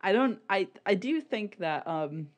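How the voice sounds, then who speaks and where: A podcast co-host chatting casually about media in a rambling, halting, sweary way, often repeating words. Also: mid-range voice, lively intonation. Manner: informal, sweary.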